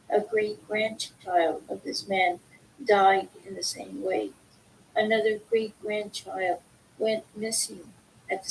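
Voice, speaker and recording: A woman reading book excerpts aloud, with halting, deliberate phrasing. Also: vocal fry, poor audio. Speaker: reader of a book. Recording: faint background noise, low rumble.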